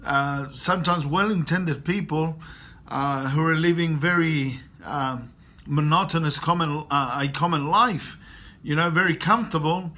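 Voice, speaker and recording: A man's voice: on the high side, unhurried, sharp tone. Earnest man in his 50s from Chile. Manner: earnest, repeats phrases for emphasis. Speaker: motivational coach. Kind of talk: personal motivational talk to camera. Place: travelling abroad.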